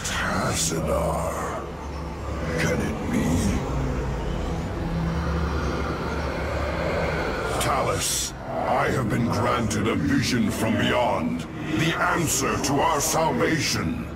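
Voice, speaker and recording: An actor playing a wise old alien warrior prophet in a sci-fi game, solemn, measured and formal. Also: male voice, medium pitch, animated, gravelly, very noisy. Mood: solemn awe. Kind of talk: dramatic sci-fi game acting. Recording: loud music behind the voice.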